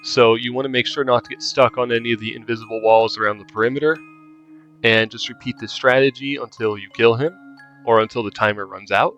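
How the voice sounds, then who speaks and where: Casting: male walkthrough commentator, casual explanatory delivery, average pitch, lo-fi sound. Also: steady tone, fairly quick, fairly bright tone, faint music behind. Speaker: gamer giving a walkthrough. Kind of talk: casual game guide commentary.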